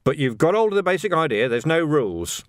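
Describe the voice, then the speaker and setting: Man voicing a gruff, wily veteran police sergeant. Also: fairly high pitch, big pitch swings, fast, dry recording, studio quality, voice pushed up. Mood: taunting. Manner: taunting, blunt, streetwise. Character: gruff, wily veteran police sergeant drilling recruits, male, middle-aged.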